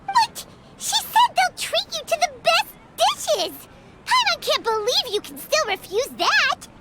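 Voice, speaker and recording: A woman voicing a tiny, childlike, excitable fairy sidekick in an anime game dub, squeaky, blunt and full of exclamations. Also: unhurried, very noisy, full character voice. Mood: indignant. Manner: exclamatory, nosy.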